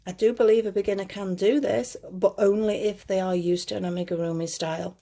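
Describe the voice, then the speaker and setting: A woman hosts a crochet tutorial in a soft-edged, mellow voice that has a slight gruffness to it. She chats casually through each step at a middling pitch, correcting herself and reassuring beginners. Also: fairly even intonation, brisk. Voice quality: slightly gruff, lower than her usual voice. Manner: chatty, self-correcting, reassuring toward beginners. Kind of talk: casual step-by-step craft instruction.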